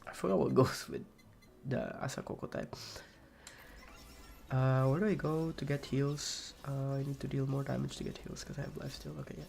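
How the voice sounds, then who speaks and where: A young man with a raspy voice, streaming a game and rambling casually with many fillers and restarts. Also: mid-range voice, steady tone, moderate pace, a little mellow. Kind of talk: casual chat while playing a game.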